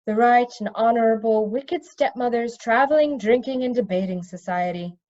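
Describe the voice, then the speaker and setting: A woman's voice: a little high, moderate pace, darkish tone, dull audio, close to an everyday voice. A woman voicing a flustered, earnest middle-aged prince and reluctant wicked uncle, stammering and sighing, in a storybook reading. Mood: rueful, earnest.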